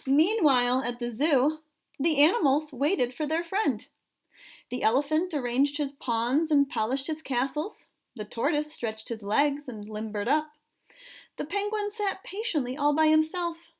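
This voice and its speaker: Woman, high voice, children's read-aloud, measured expressive narration. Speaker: storybook reader for children.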